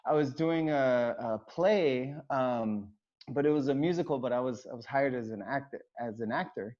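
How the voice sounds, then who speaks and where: A man's voice: medium pitch, moderate pace, darkish tone. A man from the United States, raised in Hawaii, a choreographer and dancer chatting casually as an interview guest, rambling with many fillers and restarts. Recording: dull lo-fi sound.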